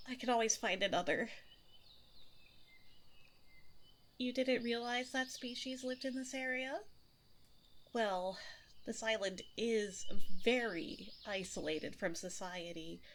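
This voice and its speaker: Seductive witch, played by a woman for ASMR roleplay: leisurely delivery, medium pitch, flirtatious yet sinister, over audible nature and fireside sounds. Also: lightly acted. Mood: flustered, evasive. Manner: coy, flirtatious, politely sinister. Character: seductive, possessive witch on an isolated island. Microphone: close binaural 3Dio microphone. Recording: nature sounds and fire crackle behind voice. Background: nature ambience, fireplace.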